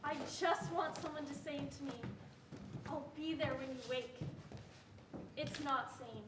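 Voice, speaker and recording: A woman's voice: high-pitched, fairly even intonation, a little slow. A young woman playing a plaintive chorus voice, delivering lyrical refrains in a stage reading. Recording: noisy echoey room, distant mic.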